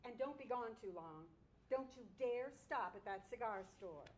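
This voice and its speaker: High-pitched, exasperated nagging wife played by a woman. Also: animated, moderate pace, lightly acted. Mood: bossy, complaining. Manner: nagging, scornful, bossy. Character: exasperated, bossy, sharp-tongued wife, scornfully unafraid of her husband. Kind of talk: comic stage dramatization of a short story. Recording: live stage recording with rumbling background noise.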